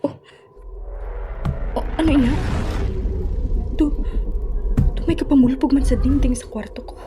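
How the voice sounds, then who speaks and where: High-voiced, frightened young daughter, acted by a woman. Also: unhurried. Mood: frightened, pleading. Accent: Western Visayan Filipino. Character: terrified, weary daughter alone in a haunted house. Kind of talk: amateur radio horror drama monologue. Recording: echoey room with music bed.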